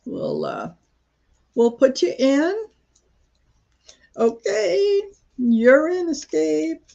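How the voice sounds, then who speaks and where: A woman from Croatia rambles slowly through a story for her live-stream viewers, with a gravelly edge to her voice. She stops often to fill a gap or restart a thought. The sound is a little dull, like a home streaming setup. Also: high voice, very animated, mellow. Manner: rambling, halting, many fillers and restarts. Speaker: tarot reader hosting a live stream.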